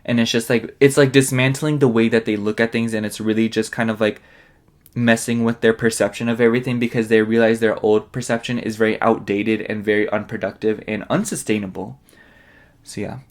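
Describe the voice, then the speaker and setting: A man's voice: on the low side, flat delivery, brisk. A man giving a casual tarot reading, rambling with lots of fillers, his voice sniffly and nasal. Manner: chatty, rambling, heavy on fillers. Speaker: tarot reader.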